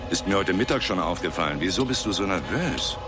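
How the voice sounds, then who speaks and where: An actor playing an uneasy airline pilot in a German radio drama. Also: male voice, deep voice, animated, brisk, loud music behind the voice. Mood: alarmed. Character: male airline pilot, alarmed, questioning his colleague.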